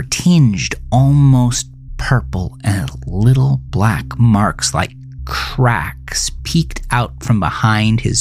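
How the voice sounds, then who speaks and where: Male horror narrator, deliberate and dramatic, slow delivery, slightly deep, light musical bed. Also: gravelly. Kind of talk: scripted horror story narration. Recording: music behind the voice.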